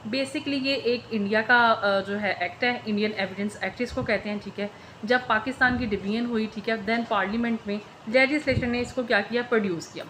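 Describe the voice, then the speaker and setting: Female law tutor, Pakistani accent, slightly high pitch, informal explanatory lecture with English terms mixed in, some background noise. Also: fairly quick, fairly bright tone, low-quality recording. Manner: code-switches between Urdu and English legal terms. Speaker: law teacher.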